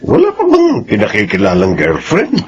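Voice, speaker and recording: A man's voice: medium pitch, huge pitch swings, normal speed, highs cut off. An actor playing a proud, jovial middle-aged father chatting chummily in a Filipino radio drama. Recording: faintly noisy radio drama track.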